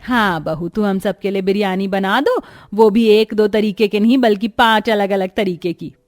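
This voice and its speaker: A woman's voice: mid-range voice, normal speed, a little mellow, natural voice. A woman voicing a bossy, food-obsessed middle-aged mother-in-law in a Hindi cartoon story, commanding and insistent.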